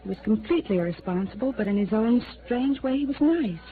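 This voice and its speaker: A woman's voice: fairly high pitch, expressive, fast talker, close to an everyday voice. Actress as a troubled woman, muffled old radio. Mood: wistful. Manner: earnest, hesitant, breaking off sentences. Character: guarded, troubled young woman passenger aboard ship. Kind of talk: 1950s radio drama acting. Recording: muffled old radio recording, faint noise.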